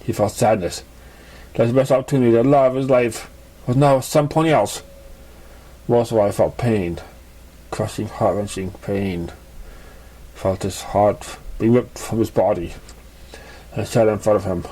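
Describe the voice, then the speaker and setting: A man reading a fan fiction story aloud in a halting, stumbling way. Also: lowish voice, dark tone, rough recording. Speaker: story reader.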